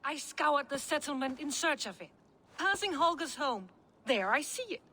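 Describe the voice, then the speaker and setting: An aggrieved village woman pressing her complaint with rising indignation. Her voice sits high and climbs on each point. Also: very animated, moderate pace, pushed and bright, heavily acted. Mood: indignant.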